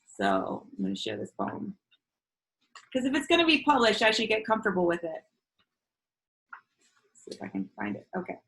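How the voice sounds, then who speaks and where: A woman whose first language is Spanish, reading her own poems in a measured, earnest way over a video call from home, with casual asides between pieces. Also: medium pitch, huge pitch swings, fairly bright tone, rough recording. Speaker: featured poet. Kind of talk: reading her own poetry at an online open mic.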